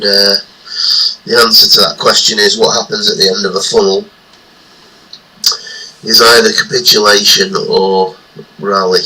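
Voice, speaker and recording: A man's voice: fairly low pitch, sharp tone, breathy edge, low-quality recording. A man with a stuffy nose from a cold, talking casually through market charts in an earlier clip. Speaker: market analysis vlogger. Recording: played back from an earlier video.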